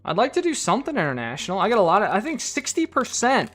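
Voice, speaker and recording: A man's voice: high voice, wildly swooping pitch, fast talker, fairly bright tone, soft background music. A man chatting casually on a live stream, reading viewer donations and comments aloud. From United States. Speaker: card collector streamer.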